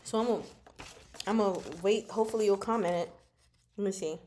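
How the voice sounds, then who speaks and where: A woman hosting a casual book discussion livestream, chatting to viewers in an expressive, exclaiming manner. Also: medium pitch, quick pace, a little hiss or hum. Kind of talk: casual chat, discussing a novel with live viewers.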